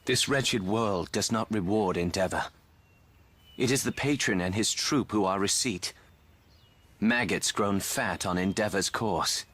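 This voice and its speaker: An actor playing a cynical, guarded young knight in a dramatic video game cutscene, with measured, formal archaic phrasing. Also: male voice, fairly low pitch, a bit bright. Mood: bitter. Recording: music playing behind the voice.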